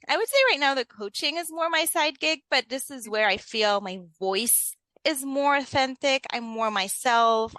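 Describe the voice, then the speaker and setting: A woman's voice: fairly high pitch, sharp tone, no echo. A woman with a Lebanese Arabic accent, speaking casually as a coach being interviewed. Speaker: interview guest, leadership coach.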